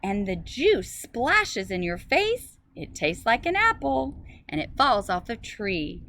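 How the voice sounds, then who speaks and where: A woman with a fairly high, very lively voice reads a picture book aloud to young children. She sounds like a schoolteacher, phrasing things simply and expressively. The recording is made outdoors, with some ambient noise behind her. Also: takes it slowly. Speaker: teacher reading a story aloud to children.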